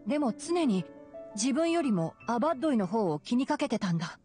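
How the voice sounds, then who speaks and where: Calm boyish forest ranger, music behind. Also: female voice, fairly high pitch. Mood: calm, explaining. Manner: measured, explanatory. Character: calm, knowledgeable young male fox-eared forest ranger. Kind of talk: Japanese anime game voice acting. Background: game music.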